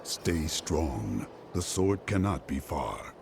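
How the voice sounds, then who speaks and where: A stern deep-voiced hunter. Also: male voice, very animated, takes it slowly, background noise, full character voice. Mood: low. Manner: stern and resolute. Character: stern male hunter on a quest.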